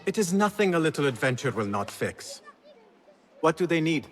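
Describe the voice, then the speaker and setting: An actor playing a young street thief in a video game, idealistic, eager and a little cocky. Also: male voice, a little high, big pitch swings, moderate pace, a bit bright, soft background music. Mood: playful, confident. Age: young adult. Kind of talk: cinematic video game acting.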